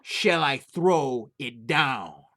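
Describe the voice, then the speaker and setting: Man voicing a slow, thundering giant spirit. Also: medium pitch, expressive, sharp tone, exaggerated voice. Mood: booming, commanding. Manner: slow, drawn-out, thundering commands. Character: booming commanding giant, a haunting spirit.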